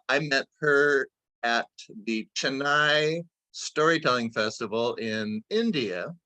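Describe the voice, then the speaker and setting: A man hosting a storytelling event on a video call, giving informal introductions in a halting, rambling way. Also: medium pitch, sharp tone, no echo. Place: indoors on a Zoom video call. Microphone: video call microphone.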